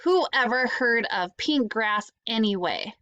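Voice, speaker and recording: A woman's voice: on the high side, expressive, measured pace, fairly bright tone, no room sound, dull audio. A woman reading a storybook aloud as an exasperated, sarcastic young boy hero who complains in an indignant, whiny way. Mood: puzzled.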